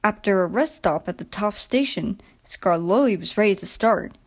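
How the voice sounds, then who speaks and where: A woman narrating a children's story from a script in an expressive, deliberate storytelling manner. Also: medium pitch.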